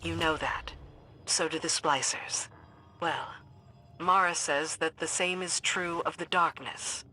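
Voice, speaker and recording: A woman's voice: sits low, steady tone, takes it slowly, pushed and bright, a little hiss or hum, full character voice. Stern female commander. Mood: firm. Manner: firm, terse, no-nonsense. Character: hardened, stern, duty-bound female military commander. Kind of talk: scripted video game dialogue.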